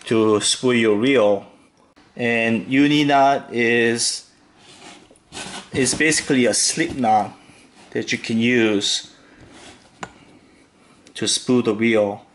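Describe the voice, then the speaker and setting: A man hosting a fishing tutorial, calmly talking through each step with occasional fillers as he ties knots. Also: mid-range voice, a little hiss or hum. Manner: slow, relaxed instructional talk with fillers. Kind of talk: step-by-step demonstration voice-over.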